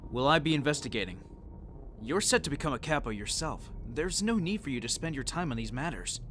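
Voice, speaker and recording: A man's voice: a little high, expressive, brisk, a bit bright, faint music behind. Man acting a polite, businesslike young gangster. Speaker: voice actor in a fan dub. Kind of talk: anime dub acting.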